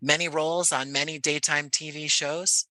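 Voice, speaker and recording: Bright-voiced American man in conversation. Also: a little high, flat delivery, moderate pace, no echo. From Jersey City, United States. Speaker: podcast guest, audio play creator. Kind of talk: conversational interview.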